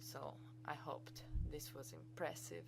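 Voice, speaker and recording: Woman, Greek accent, deep voice, college instructor, eager and informal, screencast lesson. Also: flat delivery, slow pace, creaky, background noise. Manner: enthusiastic, chatty and informal. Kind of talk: screencast software tutorial.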